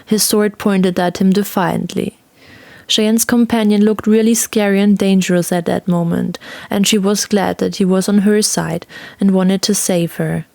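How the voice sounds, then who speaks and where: Woman reading a fantasy story dramatically, bit of fry in her voice, really dry recording. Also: medium pitch, fairly even intonation, unhurried, darkish tone, no room sound. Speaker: narrator. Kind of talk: dramatic scripted fantasy audiobook narration.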